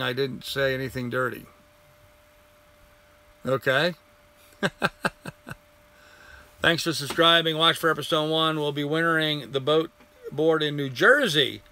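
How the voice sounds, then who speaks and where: An older man hosts a live stream in a bright, clear voice that has gone a little hoarse. He rambles in a friendly way and greets viewers by name as he answers their comments. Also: a little high.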